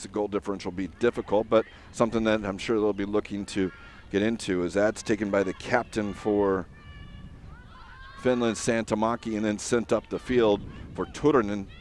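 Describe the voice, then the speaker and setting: A man giving live play-by-play commentary on a soccer match, steady and filler-heavy with frequent pauses. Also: on the low side, slight background noise.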